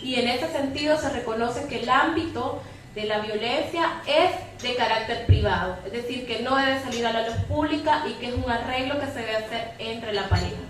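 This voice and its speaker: A woman explains her views as a panelist in a fairly high voice, often adding tag questions. The sound is very reverberant and away from the mic, with faint noise. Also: normal speed. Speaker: panelist from a women's rights organization. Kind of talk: spontaneous panel commentary. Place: echoey room at a public debate. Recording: very echoey room, distant mic, faint noise.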